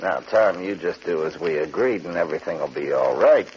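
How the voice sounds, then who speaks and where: An actor playing a menacing escaped convict with mock-friendly threats, in 1940s radio drama style. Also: male voice, deep voice, expressive, fairly quick, a little mellow. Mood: smug, commanding. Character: smooth-talking, menacing escaped convict, a wayward brother. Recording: dull, hissy 1940s radio broadcast.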